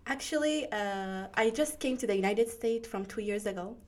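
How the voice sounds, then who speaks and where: A woman's voice: medium pitch, moderate pace. A teenage girl from Syria whose first language is Arabic, speaking English with an Arabic accent and answering interview questions hesitantly, searching for words. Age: teens. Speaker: graduating student guest.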